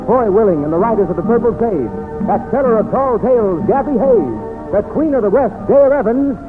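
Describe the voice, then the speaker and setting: A man's voice: high voice, very animated, moderate pace. An American man announcing an old-time radio show in a grand, declamatory style, drawing out the names. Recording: tinny old radio recording with music.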